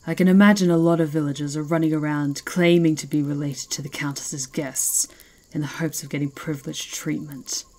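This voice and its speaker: Woman playing female werewolf leader, low and dark, proud, commanding, taunting, ASMR fantasy roleplay. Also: steady tone. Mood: skeptical, scornful. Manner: slow, taunting, imperious.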